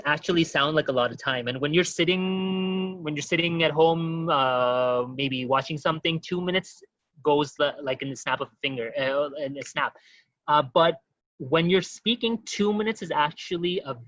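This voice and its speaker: A man teaching an online exam-prep webinar, talking quickly and informally to his audience with many fillers. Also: on the high side, a bit bright, no echo, muffled recording.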